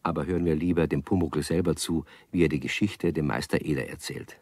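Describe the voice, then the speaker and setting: A man's voice: a bass voice, fairly even intonation, fairly quick, darkish tone, no echo, clean studio sound. A man playing the amused narrator of a children's radio play, telling the story in a scripted storybook style.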